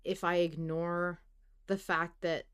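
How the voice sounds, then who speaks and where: Animated woman podcast host thinking aloud. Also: lowish voice, takes it slowly, smooth. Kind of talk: casual fan discussion, debating.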